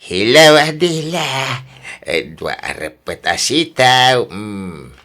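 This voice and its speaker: A man's voice: mid-range voice, sing-song, slow pace, bright, forceful tone, full character voice. A man playing a cheeky, eager old suitor in a radio drama, chuckling and drawling, with an Ilocano accent. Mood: flirty, playful.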